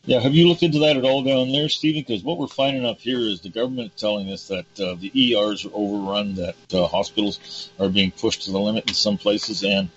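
A man hosts the conversation in a very low voice that is going hoarse. He rants with emphatic, indignant force. The audio is dull and lo-fi, with a faint hum underneath. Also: steady tone, brisk. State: hoarse, losing his voice. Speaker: host. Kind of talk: casual talk show conversation.

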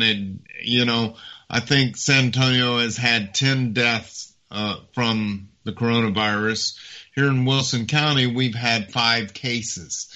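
A middle-aged man talking as a guest on a casual talk show, speaking haltingly with many fillers and repeats. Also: medium pitch, pushed and bright. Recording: dull lo-fi audio.

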